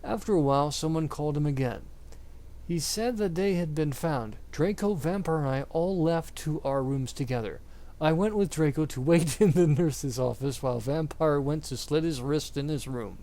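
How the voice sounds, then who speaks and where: A man's voice: on the high side, moderate pace, dark tone. A man giving an exaggerated dramatic reading of fan fiction, prone to stumbles and breaking up. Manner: theatrical, exaggerated delivery. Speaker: amateur reader.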